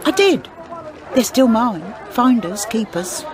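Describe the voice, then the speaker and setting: Blustering female fruit-and-veg seller, radio drama; wildly varied pitch, aggrieved, amid street bustle. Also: sing-song, slow, a crowd in the background, noisy background. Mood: indignant. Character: brash, indignant, crafty female street greengrocer. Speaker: market trader. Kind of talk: character acting in radio drama.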